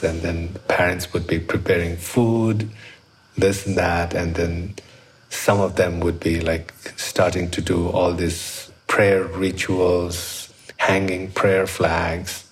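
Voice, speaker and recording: A middle-aged man from Nepal with a Nepali accent, reflecting on his life in thoughtful, halting phrases as an artist being interviewed. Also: sits low, a little hiss or hum, poor audio. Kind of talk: reflective personal storytelling.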